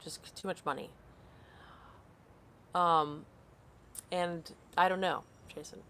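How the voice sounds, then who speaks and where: Woman, late thirties, lower pitch, live-stream host reading comments, casual and scattered. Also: steady tone, takes it slowly, fairly bright tone. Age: 30s. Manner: rambling, reads comments aloud and loses her train of thought. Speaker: skincare vlogger hosting a live stream.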